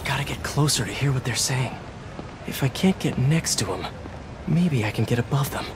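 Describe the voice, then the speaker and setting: A man's voice: medium pitch, normal speed, natural voice. Guy voicing a young american superhero for a game, wry muttering to himself, street noise behind. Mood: focused, quippy. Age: young adult. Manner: wry self-talk. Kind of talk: video game voice acting.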